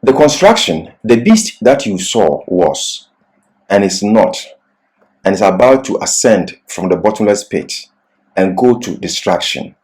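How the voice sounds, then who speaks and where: A man giving a religious seminar lecture as a Bible class teacher, with deliberate, emphatic phrasing broken by pauses mid-sentence. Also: on the low side, big pitch swings, a little mellow.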